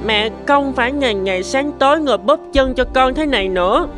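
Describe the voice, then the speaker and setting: A woman's voice: sits high, fairly quick, over music, lightly acted. Woman voicing an earnest, determined little cartoon boy. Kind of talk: Vietnamese cartoon voice acting.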